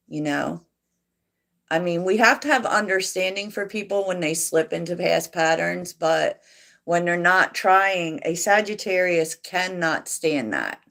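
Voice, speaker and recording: A woman hosting a tarot livestream, chatting softly and reflectively with viewers and laughing often. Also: on the low side, gravelly. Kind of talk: casual livestream chat answering viewers.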